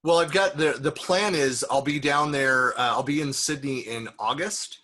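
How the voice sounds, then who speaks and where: A man's voice: medium pitch, moderate pace, bright, forceful tone. A man hosting a casual interview over a video call, chatty and rambling, with frequent restarts. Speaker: host.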